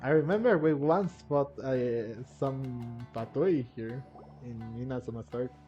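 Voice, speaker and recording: A man giving casual gameplay commentary, halting and often repeating or rephrasing himself. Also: mid-range voice, dark tone. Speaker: gamer narrating a playthrough. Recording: muffled lo-fi mic, game music behind. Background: video game music.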